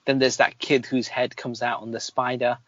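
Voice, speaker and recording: A man's voice: mid-range voice, flat delivery, normal speed, a bit bright, dry recording, lo-fi sound. A man reviewing films in casual chat as a podcast host, with an opinionated, critical manner.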